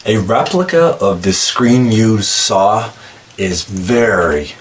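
A man's voice: fairly low pitch, expressive, slow, noisy background. A man in his forties talking casually and enthusiastically about horror cosplay props in a cluttered room, filmed from a distance. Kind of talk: casual show-and-tell vlog. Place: indoor room packed with horror collectibles.

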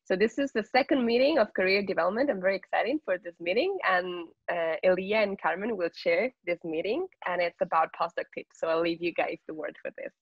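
A woman opening an online session as its organizer. She speaks slowly and hesitantly, with fillers. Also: fairly low pitch, slightly rough, no echo, highs cut off. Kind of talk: casual introduction on a video call. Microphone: video call.

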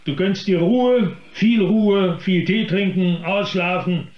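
An actor playing a flustered, gluttonous village doctor in a radio play, whining and excitable. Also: male voice, high voice, takes it slowly, roomy sound, muffled recording. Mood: brisk, dismissive. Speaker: actor in an audio drama. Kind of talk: theatrical German radio play acting.

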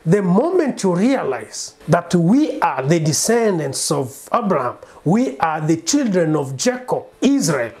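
A man's voice: a little high, very animated, measured pace, few pauses, darkish tone. A man in his thirties from Congo whose first language is Bembe, speaking earnestly to camera in a small home study on a lapel mic. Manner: earnest, insistent, gesturing with his hands. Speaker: preacher and singer presenting himself. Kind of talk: direct-to-camera monologue.

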